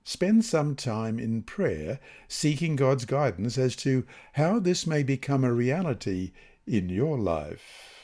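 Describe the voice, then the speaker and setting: A man reading a scripted devotional lesson and scripture in deliberate phrases with emphatic pauses. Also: medium pitch, sing-song, dark tone. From Australia. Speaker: reader of devotional Bible lessons.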